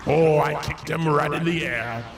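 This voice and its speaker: A man voicing a gloating, boastful giant ape villain in a video game, gravelly and theatrically taunting. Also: fairly high pitch, normal speed, reverberant, crowd noise, voice pushed up, close to an everyday voice. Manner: theatrical taunting with cackles and shouts. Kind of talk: improvised in-character game commentary. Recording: music behind.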